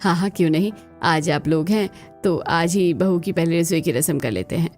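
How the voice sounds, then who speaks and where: A woman voicing a warm, approving mother-in-law in a family cartoon, with an affectionate, coaxing manner. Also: lowish voice, moderate pace, soft background music, lightly acted. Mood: proud, pleased. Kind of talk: Hindi family cartoon voice acting.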